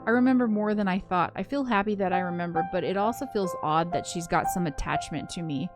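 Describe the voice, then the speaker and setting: A woman's voice: on the low side, fairly quick, natural voice. A woman reading a brooding, hazy-headed young ghost girl's first-person narration from a visual novel. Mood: tender, puzzled. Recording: prominent background game music.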